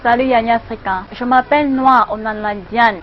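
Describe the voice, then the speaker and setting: A woman from Cameroon with a Cameroonian accent, giving a halting street interview greeting to camera. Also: on the high side, fairly even intonation. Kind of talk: vox pop greeting to camera. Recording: dull lo-fi street audio, faint music.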